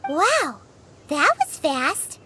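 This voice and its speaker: A woman voicing a small, chatty fairy companion in a video game, squeaky and childlike, referring to herself in the third person. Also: very animated, very slow, background noise, exaggerated voice. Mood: surprised, puzzled. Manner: speaks of self in third person.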